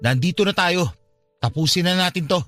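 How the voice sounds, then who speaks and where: An earnest, wounded son in a Filipino radio drama. His voice is slightly high for a man and carries a polite, sincere longing, with music underneath. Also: a little fast, bright, forceful tone. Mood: hurt, pleading. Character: hurt, earnest son longing for father's love. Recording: music behind the voice.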